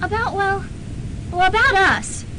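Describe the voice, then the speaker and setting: A plucky, vain college girl acted by a woman in campus radio comedy. She is ditzy and very high-voiced. The old tape carries clear noise. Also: a little slow. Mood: awkward, vain. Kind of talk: student radio comedy-drama acting. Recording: noisy old student radio recording.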